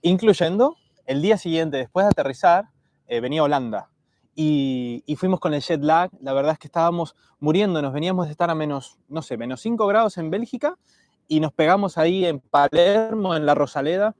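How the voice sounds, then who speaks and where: A man's voice: on the high side, animated, brisk, a little mellow, dry recording, studio quality. An Argentine-born man raised in Galicia, in his thirties, with an accent that blends Argentine and Spanish, chatting easily on a travel livestream. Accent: Argentine mixed with Spanish. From Argentina, raised in Galicia from age 14. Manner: laid-back, uses Argentine slang. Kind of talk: casual livestream chat.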